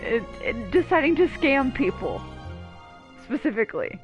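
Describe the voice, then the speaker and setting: A woman with a fairly high voice commentates casually on a video game. She talks back to the characters in sarcastic, teasing asides. Faint game music sits behind her. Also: big pitch swings, moderate pace. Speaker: game streamer. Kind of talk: casual playthrough commentary, reacting to dialogue.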